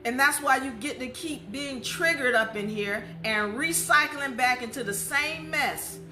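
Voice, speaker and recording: A woman's voice: sits high, unhurried, sharp tone. A woman giving an impassioned, informal history lecture in an emphatic, didactic way, often asking rhetorical questions. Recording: music under the voice. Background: music.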